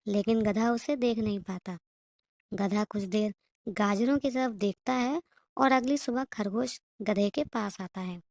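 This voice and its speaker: A woman's voice: mid-range voice, unhurried, a little mellow, no echo. A woman reading scripted narration as the storyteller of a cartoon tale.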